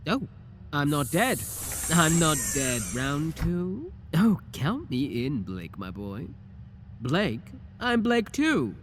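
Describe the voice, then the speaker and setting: A man's voice: on the high side, very animated, slow pace, fairly bright tone, heavily acted. Guy doing a shameless flirty tiny scientist clone, voice goes all over the place, teasing, theatrical, bit of noise behind it. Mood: excited, lewd. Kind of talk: ASMR audio roleplay acting.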